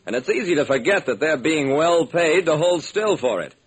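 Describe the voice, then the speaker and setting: A man's voice: a little high, animated, moderate pace, husky. A man narrating a scripted radio detective drama in the first person, telling it dramatically. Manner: dramatic storytelling.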